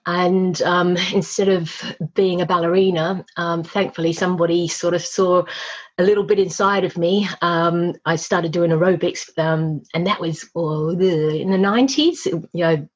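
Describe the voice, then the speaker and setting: A woman's voice: on the low side, fairly even intonation, moderate pace, husky, dull audio. A woman who grew up in Australia, a guest on an interview podcast, chatting casually with frequent laughs and many ums.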